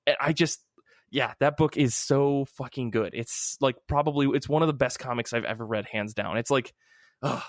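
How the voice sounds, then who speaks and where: A man hosts a casual hobby podcast in a medium-pitched voice. He talks effusively and with emphasis, dropping swear words freely. The sound is dry, with very little room on it. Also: moderate pace, no echo. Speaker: podcast host. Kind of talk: casual chat about comic books.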